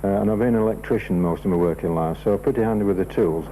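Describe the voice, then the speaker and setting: A man giving an unscripted interview in a halting, hesitant way. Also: low voice, dark tone. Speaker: interviewee, amateur plane builder. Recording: noisy old TV broadcast, faint hum.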